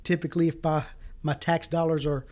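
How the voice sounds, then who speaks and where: A man raised in the American South whose first language was Greek, talking earnestly in a casual interview, with many halting fillers. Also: a little high, little pitch movement, darkish tone. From Fayetteville, North Carolina. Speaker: city council candidate.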